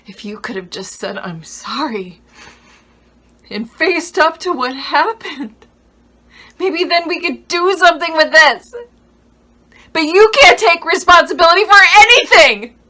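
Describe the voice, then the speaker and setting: Woman acting raw, wounded woman, bright-voiced and furious. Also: mid-range voice, breathy edge. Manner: emotionally raw, emphatic. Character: wounded, furious woman confronting someone who failed her. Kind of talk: dramatic monologue acting reel.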